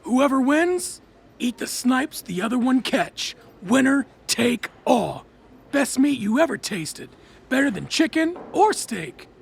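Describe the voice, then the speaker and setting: A man playing a cocky, foul-mouthed, taunting bully in an audiobook, sneering and jeering in a raspy voice. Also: very high voice, very animated, slow, full character voice. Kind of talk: audiobook character acting.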